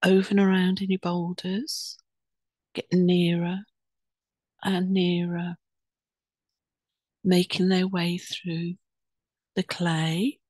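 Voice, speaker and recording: A woman leading a guided meditation in a soothing, unhurried, instructing way with pauses between phrases. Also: lowish voice, level tone, a little mellow, no echo.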